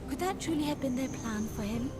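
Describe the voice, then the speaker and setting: An actress playing a shrewd, composed war strategist in a fantasy game, with a scholarly, eloquent, measured manner. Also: female voice, high voice, expressive, music in the background. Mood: probing, rhetorical. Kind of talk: theatrical fantasy game dialogue.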